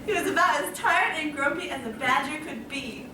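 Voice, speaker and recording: A woman's voice: sits high, expressive, moderate pace, bright, forceful tone. A woman narrating a scripted children's story. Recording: very echoey room, distant mic, faint noise.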